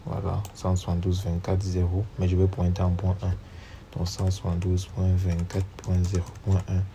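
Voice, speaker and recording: A man's voice: low-pitched, monotone, normal speed, warm tone, a little hiss or hum. A man with a cold narrating a step-by-step screen tutorial, reading each command and number aloud as he types. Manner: steps through commands aloud, spelling out numbers. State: has a cold. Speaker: networking instructor. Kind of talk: screen-recorded tutorial walkthrough.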